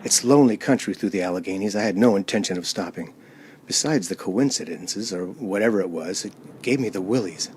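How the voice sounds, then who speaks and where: A man's voice: fairly low pitch, lively intonation, brisk, a little mellow, a little hiss or hum, lightly acted. An actor playing an uneasy man driving alone, narrating a radio play in a hesitant, dramatic way. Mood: uneasy recollection.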